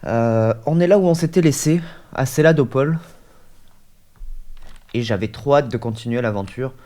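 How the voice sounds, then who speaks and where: A man's voice: medium pitch, normal speed, warm tone. A man with a head cold, chatting casually through a gaming session at his desk mic, hesitant and full of fillers. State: stuffy, has a cold. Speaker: gaming YouTuber. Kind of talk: casual let's-play commentary.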